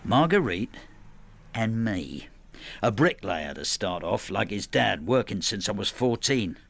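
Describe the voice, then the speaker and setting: An actor in a radio drama playing a plain-spoken working man in his sixties, telling his story in a measured, understated way. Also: on the low side, big pitch swings, creaky, fairly bright tone, heavily acted. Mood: reflective. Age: 60s. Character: retired working-class widower, plain-spoken, quietly bitter husband. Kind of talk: radio drama narration and dialogue.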